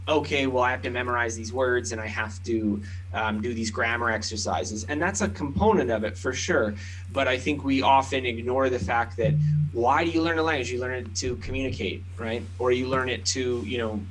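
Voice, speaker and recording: A man's voice: mid-range voice, breathy edge, poor audio. A man, an author and podcast guest, explaining ideas in a thoughtful way with plenty of examples. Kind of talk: explanatory interview talk.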